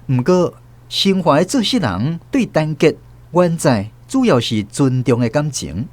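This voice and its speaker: A man narrating a radio drama as host, with expressive storytelling. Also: on the high side, a little slow.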